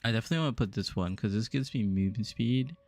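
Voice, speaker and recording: A man's voice: fairly low pitch, fast, no room sound. A young adult man from the US East Coast, musing aloud and answering chat during a casual game stream. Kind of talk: casual live-stream chat while playing.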